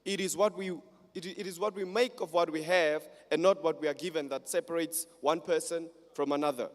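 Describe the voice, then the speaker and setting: Guy reading a formal welcome speech, bit high voice, big echoey hall. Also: fairly even intonation. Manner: formal, measured, read from notes. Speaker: student council speaker. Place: large hall. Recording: long hall reverb.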